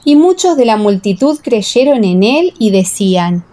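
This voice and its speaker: A woman reading a dramatized Bible audiobook as narrator, in a solemn, measured storytelling manner. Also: fairly high pitch, big pitch swings, dark tone. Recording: echoing, long reverb.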